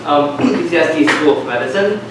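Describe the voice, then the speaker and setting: A man's voice: fairly high pitch, expressive, fairly quick. A young man, the student host, gives a casual spoken introduction to a classroom. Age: young adult. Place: lecture classroom, indoors. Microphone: far camera at back of room. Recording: distant, echoey, very noisy room.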